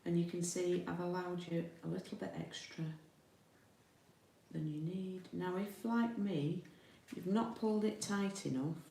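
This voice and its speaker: Low, roomy-sounding Yorkshire woman, chatting casually. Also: unhurried, vocal fry, dark tone. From Yorkshire, England. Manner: chatty, informal, thinking aloud while working. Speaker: card-making demonstrator, host. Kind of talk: casual live craft tutorial. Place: indoor room with a window. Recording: roomy, distant mic, faint noise, clicks.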